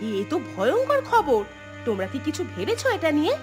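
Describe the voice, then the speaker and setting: Woman acting high, animated village housewife. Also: brisk, over music. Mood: concerned. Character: village housewife, sharp-tongued but concerned wife. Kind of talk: Bengali cartoon voice acting, folk-tale dialogue.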